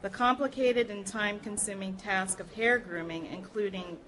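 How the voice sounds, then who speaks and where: Woman, mid-pitched voice, live read-aloud, book excerpts, presented to an audience. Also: moderate pace, fairly bright tone, low-quality recording. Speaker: reader at a public library program. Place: live public reading at a library event.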